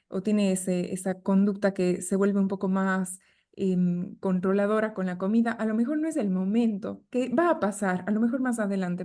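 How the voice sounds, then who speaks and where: A woman in her thirties with an Ecuadorian accent, a guest nutritionist speaking reflectively and gently, with hesitant repetitions. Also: medium pitch. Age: 30s. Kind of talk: conversational podcast interview.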